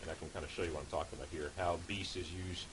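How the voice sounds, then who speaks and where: A man's voice: sits low, fairly even intonation, rapid-fire, fairly bright tone. A man preaching as a street preacher, reading Bible passages and explaining them in an informal teaching way. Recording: hissy background noise.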